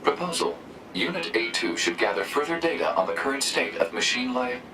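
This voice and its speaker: A man voicing a formal, logical robotic support unit in a video game, with clipped, deadpan phrasing. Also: mid-range voice, fairly quick, gravelly, loud music behind the voice, poor audio. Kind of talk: scripted game status reporting.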